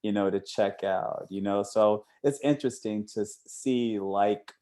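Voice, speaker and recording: Man, fairly low pitch, dark mellow tone, interviewer, casual, discursive. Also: measured pace. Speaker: interviewer, comic creator.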